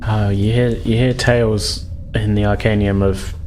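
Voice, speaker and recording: A man voicing a bookish young scholar from an arcane academy, speaking in a halting, hesitant way. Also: lowish voice, level tone, a little mellow, a little hiss or hum, close to an everyday voice. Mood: unsure.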